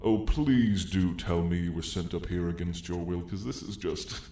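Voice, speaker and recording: A man voicing a haughty, sardonic dragon in a slow, condescending, theatrical drawl for an ASMR roleplay story. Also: sits low, warm tone, echoey room, a little hiss or hum. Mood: scornful amusement. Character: haughty, sardonic dragon, apex predator of a mountain.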